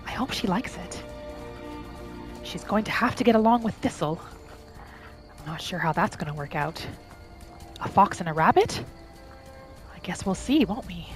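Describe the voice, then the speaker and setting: A woman narrating her game roleplay in character, with a gentle, musing manner as if talking to herself and her pets. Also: fairly low pitch, lively intonation, normal speed, long pauses. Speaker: roleplay gaming host. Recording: music behind the voice. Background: background music.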